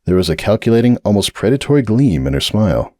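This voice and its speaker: Expressive low-voiced male storyteller. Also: quick pace, no room sound, studio quality. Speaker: narrator. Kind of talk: dramatic audiobook reading.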